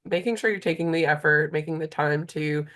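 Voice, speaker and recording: A woman's voice: low voice, brisk, fairly bright tone. A woman hosting a casual interview, speaking in a conversational style. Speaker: interviewer, host.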